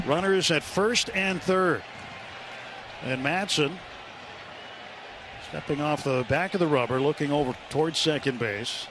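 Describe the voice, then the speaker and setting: A man's voice: on the high side, measured pace, long pauses. A man doing live radio play-by-play of a baseball game, in clipped descriptive phrases that lift on big plays. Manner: clipped descriptive phrasing, lifting into excited calls. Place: ballpark broadcast booth. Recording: radio broadcast with loud ballpark crowd noise.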